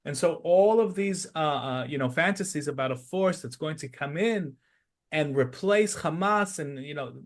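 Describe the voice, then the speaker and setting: A man's voice: fairly high pitch, expressive, moderate pace. A man discussing politics analytically as a podcast host, explaining his points step by step with emphasis.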